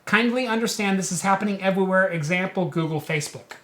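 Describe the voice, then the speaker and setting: A man hosting a relaxed tech talk show, chatting casually and reading quotes out loud with a joking edge. His voice sits fairly high and sounds bright. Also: quick pace. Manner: reads quotes aloud, joking. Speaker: podcast host. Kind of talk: casual chat.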